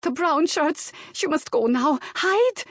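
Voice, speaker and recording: A woman voicing an excitable, protective Bulgarian maid in an audiobook, with a Bulgarian accent and urgent, rushed broken English. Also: sits high, no echo, studio quality, voice pushed up. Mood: frantic, urgent. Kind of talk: audiobook character dialogue.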